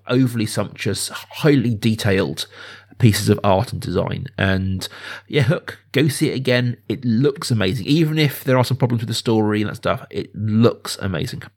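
A man around his thirties or forties hosting a casual film discussion, talking enthusiastically in a halting, discursive way. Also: lowish voice, creaky, darkish tone. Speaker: podcast host.

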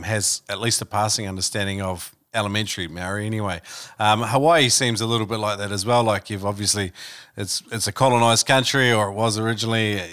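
New man hosting a podcast. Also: lowish voice. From New Zealand. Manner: relaxed, hesitant, many fillers and restarts. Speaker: podcast host. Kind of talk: casual interview chat and ad reads.

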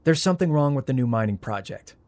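A man playing a frazzled, sarcastic young heir in an audio drama, with a wry, flustered, halting delivery. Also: mid-range voice, lively intonation, a little mellow, natural voice. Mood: uneasy. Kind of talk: audio drama acting, naturalistic.

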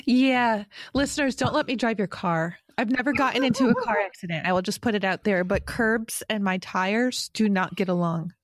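A woman's voice: medium pitch, moderate pace, hardly any pauses, no room sound, clean studio sound. A woman in her forties from Rochester, New York, hosting a podcast in a chatty, self-deprecating way and laughing often. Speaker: podcast host. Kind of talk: casual podcast chat.

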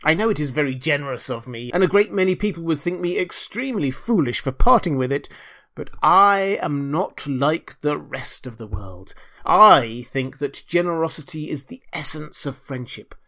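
A pompous, self-righteous storybook miller, acted out by a man. The voice sits high and creaks at the edges, swinging broadly in melody. He draws out sanctimonious phrases, posing as generous while being greedy. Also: animated, normal speed, pushed and bright. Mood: self-satisfied. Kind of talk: storybook character reading.

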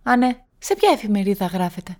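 A woman's voice: medium pitch, big pitch swings, darkish tone, lightly acted. A young woman acting as a frank student neighbour in a radio drama, speaking matter-of-factly. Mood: curious, cautious.

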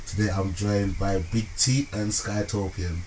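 Relaxed male podcast host, lowish voice, faint noise behind. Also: a little slow, rough recording. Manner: relaxed, laughs often, filler-heavy. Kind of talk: casual podcast chat.

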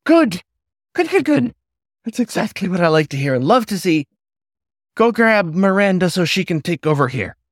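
A man's voice: sits high, sing-song, a little slow, dry recording, studio quality, pitched above the performer's own voice, exaggerated voice. A man voicing a hyper, fussy male hare store manager with a piercing kettle-whistle voice and scolding outbursts. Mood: forced cheer.

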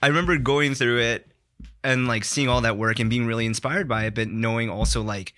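A man's voice: medium pitch, steady tone, fairly quick, fairly bright tone. A man chatting informally as a studio podcast guest, thinking aloud as he talks. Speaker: podcast guest, digital content creator. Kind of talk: casual chat.

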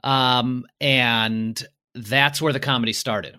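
A man's voice: medium pitch, steady tone, slow, pushed and bright, smooth. A man from Chicago, a comedian guest, telling self-mocking stories of his childhood in casual podcast chat. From Chicago, USA. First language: Polish.

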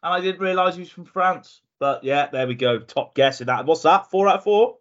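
A man's voice: on the high side, brisk, fairly bright tone. A man hosting a casual football podcast, upbeat and competitive, thinking aloud while he guesses. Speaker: podcast host. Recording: dull lo-fi podcast audio.

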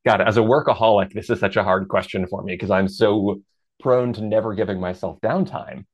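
Deep-voiced guy in his 30s, thoughtful guest, slow and measured with bursts of animated quotes. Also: lo-fi sound. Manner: reflective and measured, lifts into animated quoted asides. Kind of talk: casual interview chat.

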